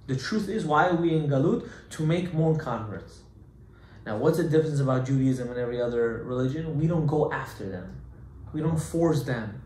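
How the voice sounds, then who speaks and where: A man giving an animated Torah class as a rabbi, emphatic and full of rhetorical questions, mixing Hebrew terms into his English. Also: on the high side. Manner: emphatic, rhetorical questions, acts out objections loudly. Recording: echoey room with faint background noise.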